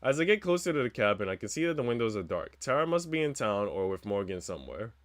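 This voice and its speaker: A man's voice: on the low side, sing-song, fast. A man narrating a visual novel aloud as a streamer, reading out first-person story text. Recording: game music behind the voice. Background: visual novel music.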